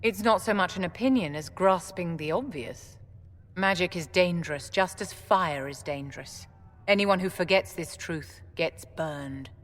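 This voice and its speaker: An actress playing an elegant, imperious middle-aged enchantress in a video game, with a haughty, deliberate manner. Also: female voice, lowish voice, natural voice. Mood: cool, condescending. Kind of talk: video game dialogue acting. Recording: noisy, long hall reverb.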